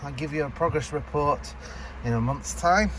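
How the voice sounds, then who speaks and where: A man's voice: fairly high pitch, normal speed, fairly bright tone, low-quality recording. A man giving casual, hands-on DIY narration as a vlogger, talking through what he is doing. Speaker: vlogger demonstrating car fixes. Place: around and inside a car, partly at a desk. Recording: clear background noise from car outdoors.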